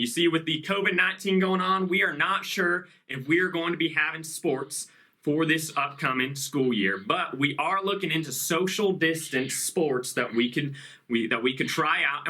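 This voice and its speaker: A man playing an earnest, courteous high school sports coach making a formal recruiting pitch in a prank phone call. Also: pushed and bright. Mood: polite, earnest. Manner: courteous, formal, salesman-like patter.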